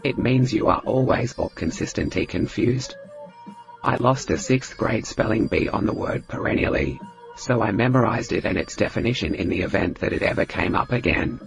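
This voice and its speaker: Comedy role: male actor as chatty Australian woman, smoky and gravelly, lively intonation, music underneath. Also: on the low side, normal speed, rough recording. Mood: matter-of-fact. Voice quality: raspy, smoky. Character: Kathy, chatty earthy Australian woman ex-camel jockey. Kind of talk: scripted comedy dialogue.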